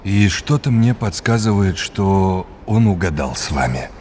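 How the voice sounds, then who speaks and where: An actor playing a kindly, courteous academic mentor in an audio drama, deliberate and encouraging. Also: male voice, low voice, lively intonation, a little mellow, a little hiss or hum. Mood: reassuring.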